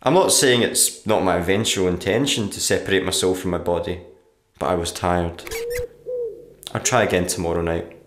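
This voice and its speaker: A low-pitched male voice from an actor plays a weary struggling writer in a radio play. He delivers his diary in a dry, morbid deadpan. The sound is roomy, with a noticeable echo. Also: moderate pace, background noise, lightly acted. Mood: deadpan, gloomy. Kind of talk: audio diary monologue, radio play acting.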